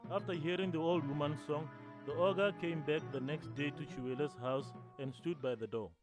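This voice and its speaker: A man playing a calm grandfather storyteller. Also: on the high side, normal speed, lightly acted. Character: grandfather telling a folk tale to listeners. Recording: music playing underneath. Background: music.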